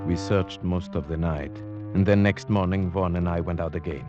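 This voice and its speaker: A man playing a cultured, brooding huntsman who narrates gravely and formally in an old radio drama. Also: sits low, fairly even intonation, moderate pace, dull audio, over loud music, lightly acted. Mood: grave narration. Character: cultured Slavic huntsman, brooding, at home in forests. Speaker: narrator and character. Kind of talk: 1940s-50s radio drama acting.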